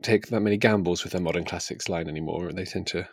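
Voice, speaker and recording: A man's voice: low-pitched, fast talker, no echo, clean studio sound. A man co-hosting a podcast, chatting casually about books in a hesitant, self-correcting way. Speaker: podcast co-host. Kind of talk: casual book chat.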